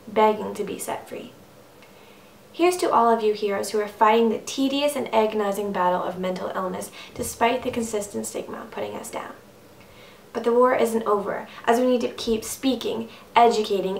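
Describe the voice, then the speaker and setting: A young adult woman performing a slam poem in an earnest, emphatic, rhythmic style. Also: fairly high pitch. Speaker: slam poet. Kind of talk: slam poetry recitation. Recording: roomy, distant mic, faint noise.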